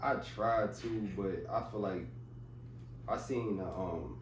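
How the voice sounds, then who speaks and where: A man's voice: lowish voice, takes it slowly. A man in his thirties chatting casually as a podcast guest, laid-back and rambling, with many "like"s. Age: 30s. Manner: laid-back, talks in loose run-on phrases with many "like"s. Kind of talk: casual chat. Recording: echoey room, mic at a distance, noisy.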